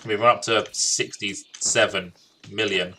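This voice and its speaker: A man's voice: on the low side, steady tone, measured pace, sharp tone, slight background noise. A man doing casual, jokey gaming commentary, talking to himself and reading game dialogue aloud. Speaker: gaming streamer.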